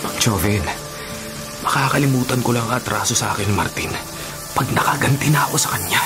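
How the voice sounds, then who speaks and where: Male actor as young male convict, brooding, stubborn, embittered, Filipino accent, animated intonation, radio drama, music behind. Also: medium pitch, a little fast, close to an everyday voice. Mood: bitter, defiant. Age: young adult. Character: brooding young male prisoner bent on revenge.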